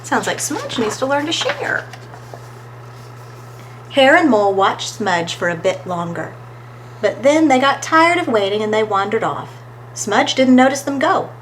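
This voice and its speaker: A woman reading a children's story aloud as an expressive storytime narrator, recorded with faint background noise. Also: on the high side, unhurried, pauses often, rough recording. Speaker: storytime reader.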